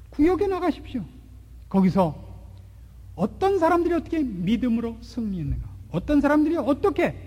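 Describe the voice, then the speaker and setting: High-voiced preacher in an echoing hall. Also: male voice, breathy edge. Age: 40s. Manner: rhetorical questions, swings between loud and hushed. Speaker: pastor. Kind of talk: sermon. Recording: long hall reverb.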